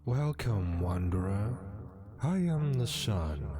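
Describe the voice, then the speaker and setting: A man's voice: lowish voice, very animated, slow, mellow, husky, dry recording, heavily acted. A man playing a solemn male sun deity in a game, narrating in a portentous storytelling manner. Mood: solemn, welcoming.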